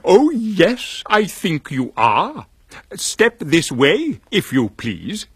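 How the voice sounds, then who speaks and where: A man acting out an old miser turned giddy with goodwill, his voice pitched up high and swooping all over as he stammers and exclaims. The husky voice sits close and dry in the storybook reading. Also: wildly swooping pitch, extremely slow, no echo, full character voice. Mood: teasing. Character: old male miser, newly joyful, eager.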